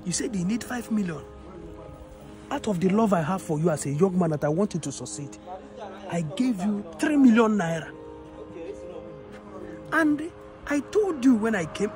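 A man with a Nigerian accent playing a generous, wronged customer, speaking emphatically and repeating himself in a skit. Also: sits high. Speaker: actor in an online skit. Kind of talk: improvised moral skit acting. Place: outdoors in an open car yard. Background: music, vehicles.

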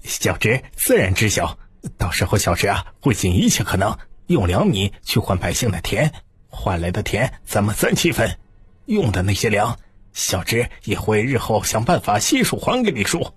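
A man's voice: fairly low pitch, sing-song, moderate pace, breathy edge, exaggerated voice. A man voicing an eager, deferential younger official in an audiobook, anxious to please his elders. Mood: eager, obliging.

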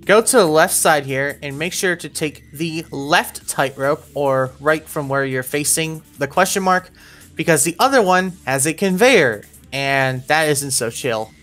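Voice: mid-range voice, a little slow, a bit bright. A narrator with a mid-range voice guides players through a game level. The delivery is instructional and step by step, like a scripted walkthrough. Faint music plays underneath. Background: background music.

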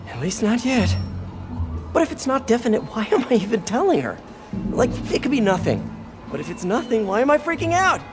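Young American superhero, nervous rambler, quippy, very animated pitch, video game, music behind. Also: male voice. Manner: rambling, self-questioning, quippy.

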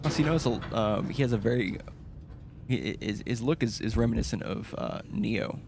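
A male streamer talks his way casually through a video game. His voice is gravelly with plenty of fry, and it sits at an ordinary pitch. Faint background noise runs under the recording. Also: animated, moderate pace. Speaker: gaming streamer. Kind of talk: casual gameplay commentary, reading in-game text aloud.

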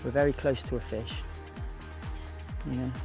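A man guiding a beginner through fishing by a lake, giving quick, repeated instructions. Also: medium pitch, fairly even intonation, music in the background. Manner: urgent, repetitive instructions. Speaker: fishing guide. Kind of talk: on-the-spot coaching. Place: outdoors by a lake.

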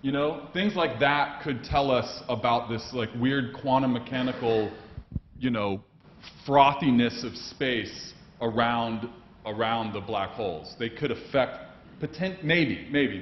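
An eager man on a lecture hall panel talks excitedly and trips over his words, restarting again and again. He sounds roomy and distant, with a long hall reverb. A dull, muffled tone and a faint hiss sit under the recording. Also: medium pitch. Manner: enthusiastic, stumbling restarts. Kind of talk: panel discussion answer.

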